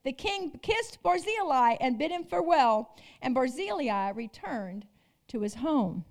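A woman's voice: high voice, sing-song, a little slow. A woman preaching a sermon, emphatic and repetitive, asking the congregation rhetorical questions.

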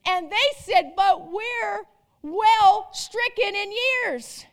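A woman's voice: extremely high pitch, extremely slow. A woman preaching a sermon, emphatic and repetitive, asking the congregation rhetorical questions.

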